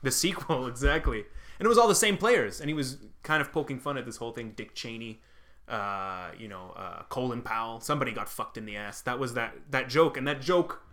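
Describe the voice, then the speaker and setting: A man's voice: mid-range voice, lively intonation, brisk, a bit bright. A man born in Lebanon, a comedian co-hosting a casual chat, rambling and swearing with self-deprecating jokes. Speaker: comedian and podcast co-host.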